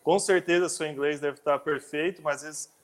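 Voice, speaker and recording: Halting, slightly high-voiced male host. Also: fairly even intonation, slight background noise. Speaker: interview host. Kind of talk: casual interview chat.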